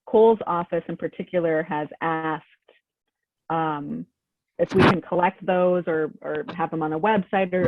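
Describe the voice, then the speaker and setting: A woman's voice: on the low side, dry recording. A woman presenting a workshop over a video call, speaking in an informative, affirming way. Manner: informative, affirming, uses "um" a lot. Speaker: workshop presenter from an advocacy group. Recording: tinny, dry phone-like audio.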